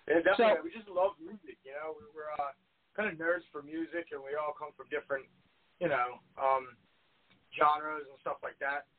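Male band member, 21, easygoing, slightly high, tinny phone-line quality. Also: fairly even intonation, moderate pace. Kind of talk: casual interview answers.

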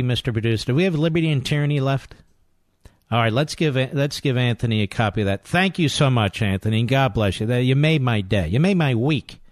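A man's voice: mid-range voice, no echo, studio quality. A man hosting a call-in radio talk show, talking emphatically and playfully while he takes calls. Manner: emphatic, playful, swooping delivery. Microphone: studio microphone.

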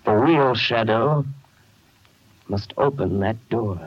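Muffled 1930s radio detective hero, urgent. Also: male voice, on the low side, slow, over music. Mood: grave, commanding. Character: confident, urgent amateur detective, a crime-fighting man. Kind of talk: 1930s radio drama acting.

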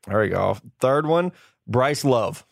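A man hosting a podcast interview, talking in a relaxed, chatty way with quick asides. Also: mid-range voice, creaky, dry recording, clean studio sound. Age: adult, older than a college player. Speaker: podcast host. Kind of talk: casual interview chat.

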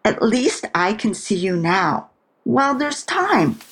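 Dying older mother: raspy, unhurried, blunt, stubborn, audio fiction. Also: mid-range voice, sing-song, fairly bright tone, exaggerated voice. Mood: weary, resigned. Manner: blunt, deliberate. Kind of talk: audio drama acting.

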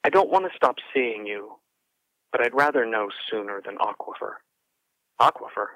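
A man's voice: lowish voice, lively intonation, normal speed, fairly bright tone, dry recording, poor audio. An actor playing an anxious, tender man who leaves a hesitant, rambling voicemail for his partner over the telephone. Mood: confused, alarmed. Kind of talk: audio drama voicemail monologue.

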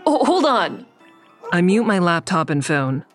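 A woman's voice: on the low side, very animated, a little slow, soft background music. A woman acting an anxious, secretive character who is in over her head, narrating and speaking in the first person in an audio drama. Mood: flustered.

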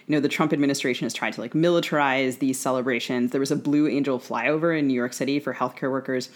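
A woman's voice: a bass voice, steady tone, fast talker. An American woman co-hosting a conversational discussion, talking discursively with many asides as she works toward questions. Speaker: podcast co-host, interviewer.

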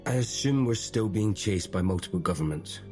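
Sardonic bewildered hero, audio drama, very low voice, questioning, music behind. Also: male voice, expressive, moderate pace, a little mellow. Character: sardonic, bewildered man back from the dead. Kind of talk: naturalistic audio drama acting.

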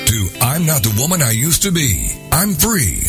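A man's voice: medium pitch, wildly swooping pitch, normal speed. A man announcing scripted radio promos and show intros. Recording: produced spot over loud music bed.

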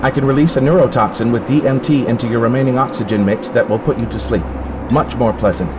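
An actor playing a spacesuit computer in an audio drama, coldly logical and polite, speaking in a measured, clinical, literal-minded way. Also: male voice, medium pitch, noisy background, natural voice. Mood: calm, clinical warning.

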